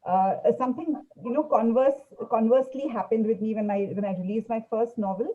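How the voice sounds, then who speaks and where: A woman novelist whose first language is Urdu, talking in a halting way with many fillers on a panel held over a video call. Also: a little high, warm tone, lo-fi sound.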